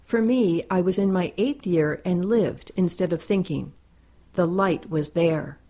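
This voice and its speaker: A woman reading a first-person essay aloud in a measured, deliberate and reflective way. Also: fairly low pitch, mellow. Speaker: reader.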